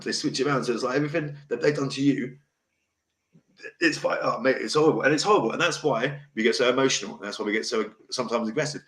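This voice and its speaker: A middle-aged man with a deep voice hosts a casual livestream talk, blunt, emotional and rambling. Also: a bit bright. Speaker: host. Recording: echoey room.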